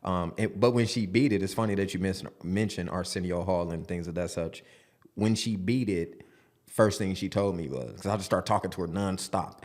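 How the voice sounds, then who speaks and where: Man, forty, very low voice, open storytelling, studio guest. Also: a little mellow. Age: 40s. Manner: open, storytelling, halting restarts. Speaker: podcast guest. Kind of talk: casual studio interview. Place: podcast studio.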